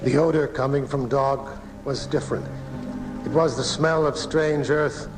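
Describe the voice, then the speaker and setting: A man narrating a 1980s radio horror drama in a deliberate, dramatic way, recorded off the air. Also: medium pitch, fairly even intonation, music in the background. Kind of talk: slow, eerie horror storytelling for 1980s radio.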